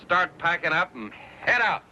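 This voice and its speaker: A man's voice: high voice, sing-song, normal speed. An actor playing a resolute, plain-spoken American frontier man in a radio western, with a firm manner. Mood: determined. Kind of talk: old-time radio western acting. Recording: dull, faintly noisy radio recording.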